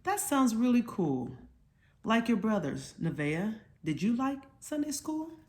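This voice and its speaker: A woman voicing a patient, gently encouraging mother of young children in a storybook. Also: fairly high pitch, sing-song, measured pace, pitched above the performer's own voice.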